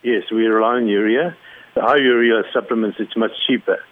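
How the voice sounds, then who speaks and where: A man from Zimbabwe, a cattle rancher, explaining his work in a measured way during an interview. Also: on the low side, steady tone. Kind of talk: phone interview. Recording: narrow phone line.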